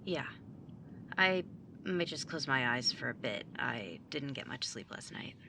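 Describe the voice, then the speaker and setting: Woman acting very low-voiced, sarcastic teenage sibling. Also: lively intonation, unhurried, creaky, background noise, exaggerated voice. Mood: defensive, weary. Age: young adult. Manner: sardonic, blunt, casual. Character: overworked, sarcastic nineteen-year-old younger sibling, student mage. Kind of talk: audio drama acting, naturalistic.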